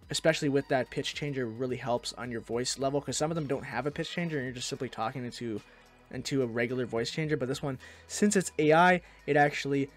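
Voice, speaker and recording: A man hosting a software tutorial, narrating in a casual, conversational way. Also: mid-range voice. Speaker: tutorial host.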